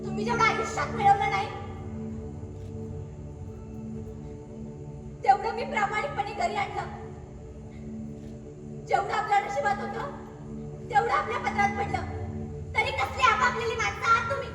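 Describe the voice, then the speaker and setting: Devout, long-suffering wife , played by a woman, earnest and pleading, very high voice, caught from a distance in an echoey theatre with music behind. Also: fairly even intonation, moderate pace, pauses often. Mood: indignant. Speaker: stage actor. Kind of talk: stage acting in a one-act play. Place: theatre stage. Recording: echoey hall, far mic, music.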